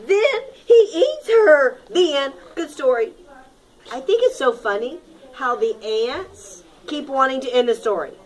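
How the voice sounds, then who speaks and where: A woman teacher reading a picture book aloud to her class in an expressive, dramatic manner, leaning on key words and prompting children to repeat. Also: high voice, measured pace, poor audio. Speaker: primary school teacher.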